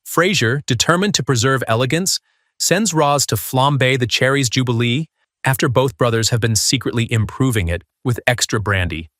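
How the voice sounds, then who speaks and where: A man's voice: mid-range voice, moderate pace, a bit bright, no echo, studio quality. A man hosting a video countdown, reading a scripted narration.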